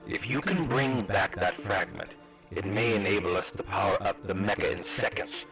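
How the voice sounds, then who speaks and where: A man puts on a grave, learned comic-book strategist with a low voice. He explains things formally and in measured phrases, calm and serious over clearly audible music.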